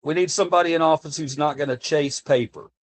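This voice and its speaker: A man's voice: a little high, fairly even intonation, quick pace. American man ranting earnestly to his chat. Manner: earnest, impassioned, repeats himself while speaking off the cuff. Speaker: livestreamer talking to chat. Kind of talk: casual live-stream chat. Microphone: streaming desk mic.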